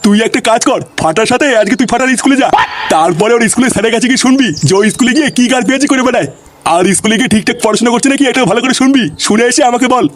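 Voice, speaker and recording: A man voicing a cranky, foul-mouthed old grandfather in a comic cartoon, gruff and scolding. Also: extremely high pitch, very fast, a little hiss or hum.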